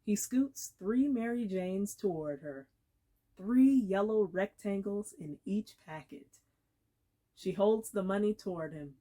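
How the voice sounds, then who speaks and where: A woman reads literary fiction aloud as a dramatic performance. She takes her time, letting phrases land with deliberate pauses. Her delivery is expressive and measured, at a medium pitch. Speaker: actor reading aloud.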